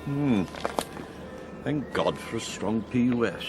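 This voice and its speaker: An actor playing an urbane intelligence agent in a radio drama, languid, arch and plummy, with an upper-class English accent. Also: male voice, medium pitch, expressive, takes it slowly, music in the background. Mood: arch, bored.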